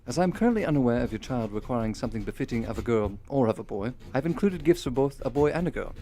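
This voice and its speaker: Man playing affectionate Victorian elder brother, mid-pitched, dark mellow tone, formal radio drama letters. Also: lightly acted. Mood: fond, hopeful. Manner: formal, polite, measured period diction. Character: courteous, affectionate Victorian older brother living abroad.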